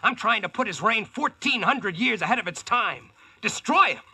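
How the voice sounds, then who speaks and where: A man's voice: high-pitched, lively intonation, moderate pace, bright, forceful tone, breathy edge, heavily acted. An actor playing a brash, slangy modern American man in 1940s-style radio drama and narration. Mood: defiant. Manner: slangy, cocky, punchy. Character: brash, wisecracking modern American man, reformer called Sir Boss. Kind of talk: 1940s radio acting and first-person narration. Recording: vintage radio broadcast with music behind.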